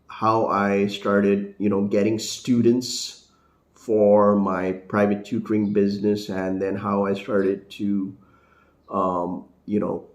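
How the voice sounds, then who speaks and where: Deep-voiced man with neutral American accent. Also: a little mellow, echoey room. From India. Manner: rambling, many fillers and restarts. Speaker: English teacher and vlogger. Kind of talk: casual talking-head vlog.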